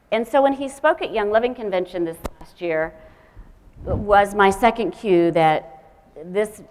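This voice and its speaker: A woman speaking as an event host, giving a live introduction of a speaker. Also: fairly low pitch.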